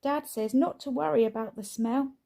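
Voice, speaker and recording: A woman's voice: high-pitched, moderate pace, creaky, warm tone, voice pushed up. A woman voicing an earnest, helpful older daughter in a family story.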